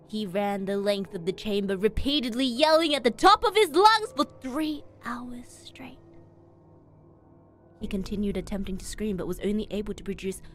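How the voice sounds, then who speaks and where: Woman reading horror aloud over music. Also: medium pitch. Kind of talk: dramatic read-aloud narration of a creepypasta. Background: background music.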